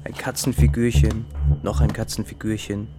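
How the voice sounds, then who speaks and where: A man's voice: fairly low pitch, level tone, moderate pace, loud music behind the voice, close to an everyday voice. A man playing a writer's sardonic imagined companion in a literary radio drama, speaking in clipped, pointed phrases. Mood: dry, pointed.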